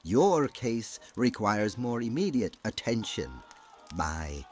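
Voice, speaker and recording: An actor playing a cold, gloating regime villain in an audio drama, speaking with mock-polite, deliberate menace. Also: male voice, on the low side, big pitch swings, soft background music. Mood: sinister control.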